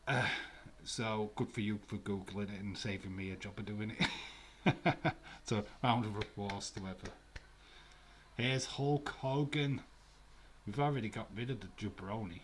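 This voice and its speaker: Scottish guy from glasgow rambling on a live stream, kinda low voice, lots of uhs. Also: a little hiss or hum. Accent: Glaswegian. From Scotland. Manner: rambling and chatty, with frequent fillers and restarts. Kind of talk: casual watch-along chat, reading out viewer comments. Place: room at home.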